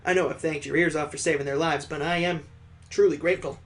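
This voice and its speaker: A man's voice: fairly high pitch, fairly even intonation, quick pace, natural voice. A man voicing a jovial, sentimental old father-in-law, hearty and earnest, in a visual novel read aloud.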